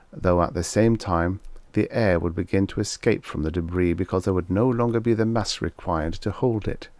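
Low, gravelly male audiobook narrator. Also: darkish tone, no room sound. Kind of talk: scripted story narration.

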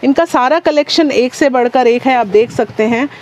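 A woman's voice: sits high, normal speed. Lady doing a shop showcase vlog, same sales phrases over and over. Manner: repetitive, patter-like presenting with stock phrases. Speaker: shopping vlog host. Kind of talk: product showcase, presenting wholesale goods. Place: small wholesale market shop, indoors.

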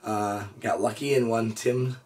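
A hoarse man in his thirties, a reptile breeder hosting his own channel, chatting easygoingly with lots of "uh" and "so". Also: mid-range voice, steady tone, unhurried, a little mellow, distant mic. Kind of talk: casual show-and-tell vlog. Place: indoor reptile room with storage drawers.

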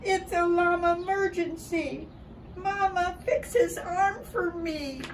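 An older woman reading a children's picture book aloud in an expressive, deliberate way, dramatizing it for young children. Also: extremely high pitch. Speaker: early childhood storybook reader. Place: outdoors by a building wall and door. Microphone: camera a short distance away. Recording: distant mic, clear background noise outdoors.

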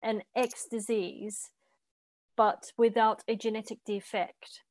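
A woman hosting a live science Q&A over a video call from a bright room at home, friendly and hesitant with fillers. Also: fairly high pitch, flat delivery, takes it slowly, no echo. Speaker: interviewer host.

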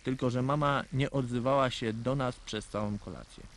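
Man playing a naive schoolboy storyteller, medium pitch. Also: moderate pace, close to an everyday voice. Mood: earnest. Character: little boy narrating his childhood mishaps, naive and earnest. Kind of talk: scripted first-person storytelling in a radio play.

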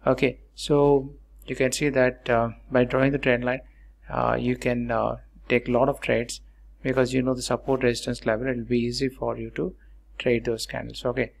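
A man narrating a chart tutorial in a halting, repetitive, explanatory way as a channel host. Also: medium pitch, flat delivery, creaky. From India.

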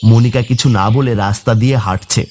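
A man's voice: fairly low pitch, fairly even intonation, moderate pace, darkish tone, slight background noise. A man narrating a scripted horror story for a cartoon.

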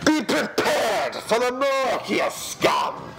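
A man's voice: extremely high pitch, wildly swooping pitch, gravelly, exaggerated voice. A man playing a haughty, scheming lion usurper in a theatrical musical song cover, sneering and drawing out his words. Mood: boastful, grandiose. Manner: pompous, sneering, drawn-out theatrical delivery. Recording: amateur song cover over backing music.

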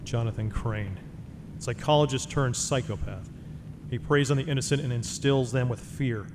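A man's voice: medium pitch, moderate pace, big-room sound, background noise, close to an everyday voice. A man playing a brooding, haunted vigilante hero, narrating a noir inner monologue in an audio drama. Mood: grim.

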